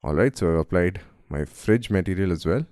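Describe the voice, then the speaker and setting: A man from India with a cold, narrating a friendly software tutorial and calling his viewers guys. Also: sits low, lively intonation, fairly quick, dry recording, studio quality. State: has a cold, voice deeper than usual. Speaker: software instructor. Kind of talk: screen-recorded tutorial narration.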